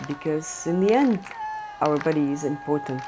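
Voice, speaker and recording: A woman theatre director talking earnestly in an interview, pausing often with fillers. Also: sits low, animated, over music. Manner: earnest, halting with frequent fillers. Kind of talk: documentary interview.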